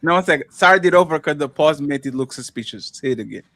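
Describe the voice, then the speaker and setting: A man's voice: a little high, lively intonation, fast talker, over a noisy crowd. A man with a Russian accent, a Russian speaker, hosting a panel chat, teasing and questioning the others and sometimes searching for words.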